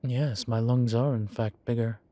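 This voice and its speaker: Slow-talking, teasing demon professor, played by a man. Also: medium pitch, steady tone, warm tone, close to an everyday voice. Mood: playful explaining. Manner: measured, teasing, formal, wry, with drawn-out pauses. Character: male demon professor, horned, teasing yet composed. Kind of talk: scripted roleplay audio, one side of a conversation.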